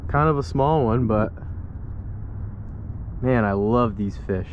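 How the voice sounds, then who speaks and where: A man's voice: medium pitch, slow. Young guy talking to his viewers while fishing, chatty and upbeat, kinda dull camera audio with faint outdoor noise. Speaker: fishing vlogger. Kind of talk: casual vlog narration. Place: outdoors by a saltwater creek.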